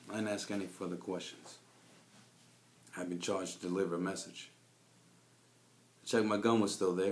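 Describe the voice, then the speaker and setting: A man's voice: sits low, roomy sound, close to an everyday voice. A man playing a cynical, world-weary private investigator, delivering a brooding noir monologue in a confiding, jaded manner. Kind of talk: scripted noir detective monologue.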